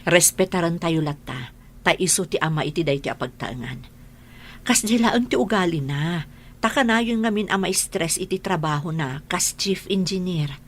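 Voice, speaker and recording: A woman's voice: lowish voice, normal speed, lightly acted. A woman acting a patient, caring middle-aged mother and wife in an Ilocano radio drama, with a pleading, emotive delivery and an Ilocano Filipino accent. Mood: soothing, sad.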